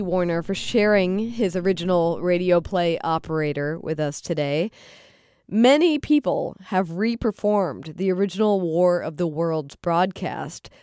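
A woman's voice: on the low side, darkish tone, no room sound, clean studio sound. A woman hosting a podcast, speaking scripted remarks straight to her listeners. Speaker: podcast host.

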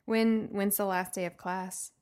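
A woman's voice: medium pitch, fairly even intonation, measured pace, darkish tone. A woman hosting a podcast in casual chat, bubbly, laughing often and filling gaps with ums. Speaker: podcast host.

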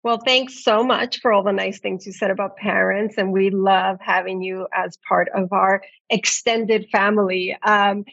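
A middle-aged American woman talks as an interview guest. She is articulate and reflective, laying out her thoughts in a conversational way. Her pitch sits in the middle range for a woman. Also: fairly even intonation, a little slow, gravelly, hardly any pauses, fairly bright tone, no echo, muffled recording. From New York City, United States. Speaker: podcast guest, magazine editor.

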